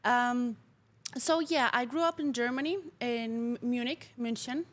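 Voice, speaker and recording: A woman from Poland, a native Polish speaker, chatting as a lively, talkative podcast guest who laughs often. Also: fairly high pitch, unhurried. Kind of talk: casual interview chat.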